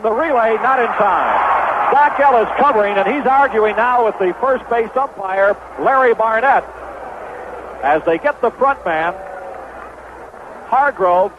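Tinny vintage radio baseball announcer, high-pitched man. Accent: American. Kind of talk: 1970s radio sports commentary. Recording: noisy phone-band vintage radio broadcast.

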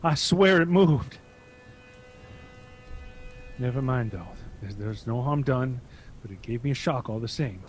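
A stubborn, curious older father played by a man in a radio drama. His mid-pitched voice moves up and down expressively, with a jumpy edge and faint noise behind it. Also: animated, measured pace, pauses often, close to an everyday voice. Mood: startled, uneasy. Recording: faint background noise and ambience.

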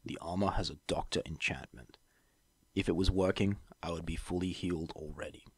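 A man voicing a wounded, resigned pony soldier in armor for an audiobook, with a low, gravelly, weary and formal delivery. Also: steady tone, fairly quick, a little mellow. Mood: stoic, defensive. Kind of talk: audiobook character voice.

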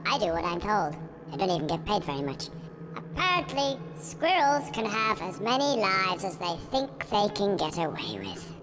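A man's voice: high-pitched, huge pitch swings, moderate pace, background noise. A man voicing a short, put-upon Grim Reaper in a video game, British, irritable and sardonic as he grumbles and swears. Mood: weary, exasperated. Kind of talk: video game cutscene dialogue.

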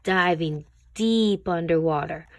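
Unhurried woman reading a storybook. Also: on the low side, no echo. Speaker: teacher reading a picture book. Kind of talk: read-aloud children's storybook narration.

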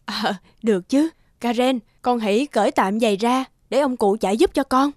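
Woman playing a high-voiced, strict older mother. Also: normal speed, a little mellow, dry recording, natural voice. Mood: commanding, weary. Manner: admonishing, motherly. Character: strict older adoptive mother, proper and scolding. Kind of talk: cartoon fairy-tale dubbing. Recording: music behind the voice.

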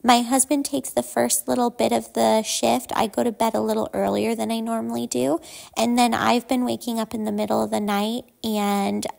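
A woman in her thirties narrates her cleaning vlog in a relaxed, chatty way, explaining each step as she goes. Her voice sits a little high and is full of vocal fry, with a gravelly edge at the ends of her phrases. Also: moderate pace. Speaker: home cleaning vlogger. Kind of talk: casual voice-over narration.

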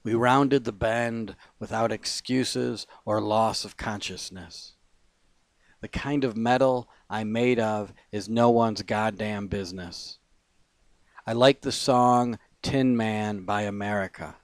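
Slow, low-voiced man reading poetry. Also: steady tone, no room sound. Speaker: poet.

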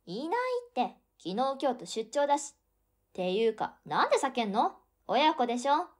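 An actress playing a frank, insistent younger sister in an audio drama, speaking casually. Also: female voice, fairly high pitch, expressive, normal speed. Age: young adult. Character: pushy, frank younger sister, about thirty.

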